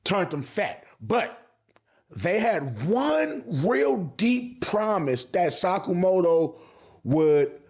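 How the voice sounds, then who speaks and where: A man's voice: high voice, sing-song, very slow, a little mellow. A man giving casual, slangy video commentary as an anime reviewer, swearing freely and repeating phrases for emphasis.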